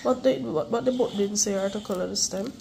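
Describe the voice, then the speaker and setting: A woman's voice: mid-range voice, brisk, vocal fry, warm tone, a little hiss or hum. A young woman with the flu, stuffy-voiced, patiently coaxing her child through homework at home. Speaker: mother and vlogger. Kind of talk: casual home vlog, helping a child with homework.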